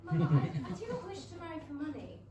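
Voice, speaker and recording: A woman playing an earnest, romantic young gentlewoman in a comic period stage reading, with a sweet manner. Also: high voice, wildly swooping pitch, quick pace, crowd noise. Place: small London theatre. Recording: echoey theatre, distant, noisy.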